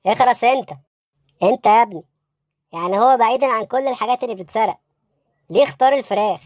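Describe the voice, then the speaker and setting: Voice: mid-range voice, fast, a little mellow, dry recording, clean studio sound, natural voice. A performer voicing a baffled, bossy police interrogator in an Egyptian comedy cartoon, brusque and insistent, with an Egyptian accent. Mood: exasperated, bossy.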